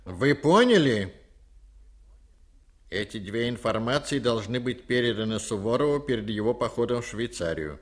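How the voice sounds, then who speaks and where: An actor playing a ruthless, commanding Jesuit priest in a radio drama, speaking deliberately with insistent, drawn-out emphasis. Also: male voice, mid-range voice, lively intonation, natural voice. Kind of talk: Soviet radio drama acting.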